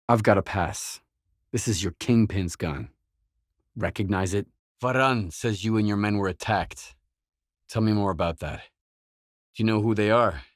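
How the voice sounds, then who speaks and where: An actor playing a gruff, guarded, hard-bitten mercenary in a video game, speaking in terse, blunt, businesslike lines. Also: male voice, lowish voice, dry recording, clean studio sound, close to an everyday voice.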